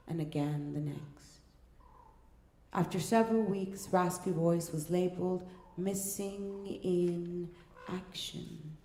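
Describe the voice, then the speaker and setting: A woman reading a scripted story in a measured, deliberate way, pausing between short phrases. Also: fairly low pitch, mellow. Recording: echoey room, faint music behind.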